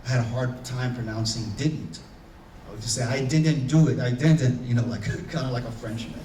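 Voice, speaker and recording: A man's voice: medium pitch, moderate pace, warm tone, slight background noise. A man from Haiti with a Haitian accent, speaking as a panelist, earnest and searching, with many fillers and restarts. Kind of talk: reflective personal talk on a panel. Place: conference room. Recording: echoey room, voice from far off.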